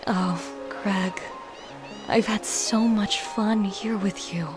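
A woman's voice: mid-range voice, fairly even intonation, slow, close to an everyday voice. A woman voicing a gushing, motherly female gem in a cartoon comic dub. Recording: music behind the voice. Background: music.

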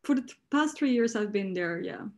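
A young woman designer talking from her living room on a video call, soft-spoken and thoughtful. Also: medium pitch, animated, fairly quick. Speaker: designer, panelist. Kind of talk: casual panel talk.

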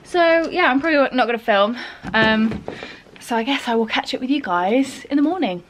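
A young woman with an English accent chats to a handheld camera held at arm's length, upbeat and full of little fillers. Her voice sits a touch high, with a faint hiss of noise behind it. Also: lively intonation, normal speed. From England. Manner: chatty and upbeat, many fillers. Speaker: vlogger. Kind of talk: casual vlog chat to camera. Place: car, then a home kitchen.

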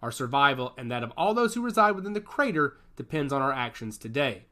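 A man voicing a grave older male rebel leader, measured and solemn. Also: medium pitch, big pitch swings, pushed and bright.

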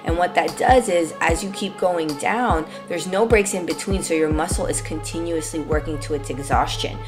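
A young woman talks through an arm workout in an easy, upbeat manner, explaining each move as she goes. Her voice sits a little low. Music plays clearly underneath her. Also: moderate pace. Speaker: fitness vlogger. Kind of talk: casual workout walkthrough. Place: gym.

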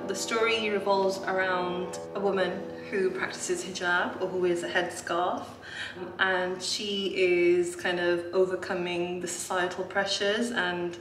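A woman in her thirties whose first language is Urdu, a singer talking about her part in an interview, in a reflective way with soft fillers. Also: fairly low pitch. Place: small room with a poster. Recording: roomy sound from a distance, music behind.

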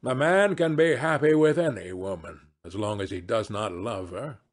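A man's voice: on the low side, expressive, moderate pace. A man voicing a witty, cynical English aristocrat in a novel reading, languid and self-amused, speaking in epigrams. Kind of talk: dramatised classic novel reading.

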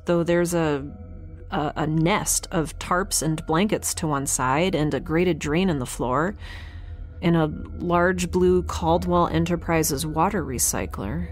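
A woman playing a young, geeky, kindhearted space scientist in an audio drama, recording an informal log in a halting, self-correcting way. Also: fairly low pitch.

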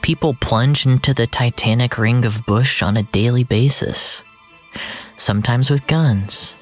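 A narrator reading scripted first-person fiction in a measured, literary storytelling manner. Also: medium pitch. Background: music.

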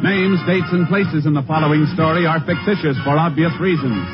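American 50s radio announcer guy, high dramatic voice, blaring music, muffled recording. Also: fairly even intonation, a little fast. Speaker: show announcer. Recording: muffled old radio, loud music behind.

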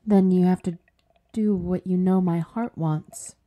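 A woman's voice: on the low side, fairly even intonation, a little slow, dull audio, lightly acted. A woman playing a bitter, intense, commanding captive, kept alive without a body, in an audio horror drama. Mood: solemn plea.

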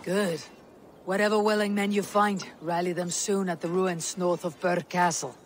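A man's voice: sits high, little pitch movement, normal speed, a little hiss or hum, lightly acted. A man playing a resolute young Viking warrior leader in a video game, earnest and commanding. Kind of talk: dramatic video game cutscene acting.

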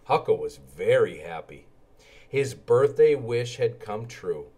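Man reading a storybook, lively pitch. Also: takes it slowly, noisy background. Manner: animated, acts out character lines. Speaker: read-aloud storyteller for children. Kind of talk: expressive children's storybook narration.

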